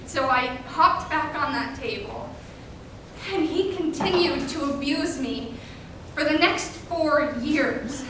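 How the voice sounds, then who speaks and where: A young woman playing a hurt teenage gymnast recounting abuse, with earnest, dramatic emphasis in a stage reading. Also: takes it slowly. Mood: ashamed, hurt. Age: young adult. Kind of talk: competitive reader's theater performance. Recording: echoey room, distant mic, rumbling noise.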